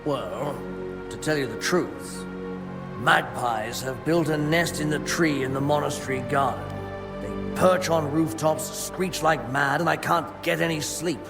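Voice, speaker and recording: An actor playing a fussy, stingy monk who guards monastery food stores in a video game, speaking in a grudging, formal, pious way. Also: male voice, fairly high pitch, unhurried, creaky. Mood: weary complaining. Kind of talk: video game cutscene acting. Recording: long reverb, music behind.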